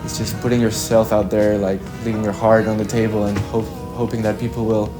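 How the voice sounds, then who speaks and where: Low-voiced young man. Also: flat delivery, music in the background. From Chile. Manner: reflective, halting, with frequent "like" and "kinda". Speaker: musician being interviewed. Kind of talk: casual interview answers.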